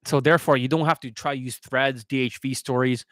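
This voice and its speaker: A man's voice: mid-range voice, steady tone, brisk, fairly bright tone, no room sound, studio quality. A man speaking as a dating coach on a livestream, answering questions in a blunt, instructional way with frequent rhetorical check-ins.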